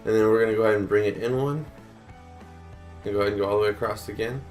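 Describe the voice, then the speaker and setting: A man's voice: fairly low pitch, rapid-fire, faint music behind. A man who is a little sick, casually walking through a building tutorial step by step and counting blocks aloud. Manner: relaxed, counts blocks aloud, many fillers. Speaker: gaming tutorial host.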